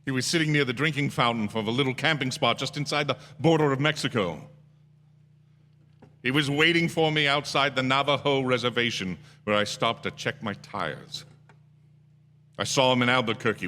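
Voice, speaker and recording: An actor playing a desperate young male motorist unravelling with dread, in halting, theatrical radio suspense style. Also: medium pitch, sharp tone, lightly acted. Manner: intense, halting, stammering. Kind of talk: theatrical radio suspense drama acting and narration. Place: theatre stage. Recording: live theatre stage, long hall reverb, music.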